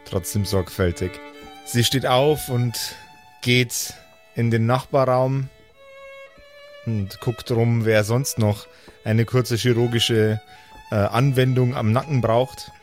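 A man's voice: medium pitch, steady tone, takes it slowly, long pauses, faint music behind. A man narrating an improvised tabletop game as game master in a vivid, descriptive way.

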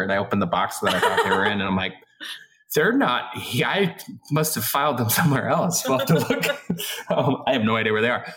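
A man's voice: fairly low pitch, a bit bright. A man in his forties, a guest on a casual podcast, rambling and laughing at himself as he tells stories. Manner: laughing, rambling, self-deprecating. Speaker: actor guest.

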